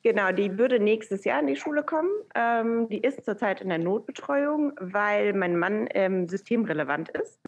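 A woman in her thirties, born in Iran, talking as a guest over a video call, thoughtful and hesitant with many pauses. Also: mid-range voice, lively intonation, dull audio. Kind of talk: casual interview conversation.

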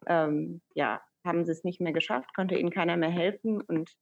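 A woman in her thirties, born in Iran, talking as a guest over a video call, thoughtful and hesitant with many pauses. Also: on the low side, creaky, muffled recording. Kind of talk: casual interview conversation.

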